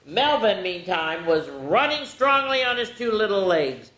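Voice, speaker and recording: A woman hosting a children's story time, reading a storybook aloud in an animated, playful way with dramatic asides to the young listeners. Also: mid-range voice, unhurried. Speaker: children's storyteller, show host. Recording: roomy, echoing indoor sound.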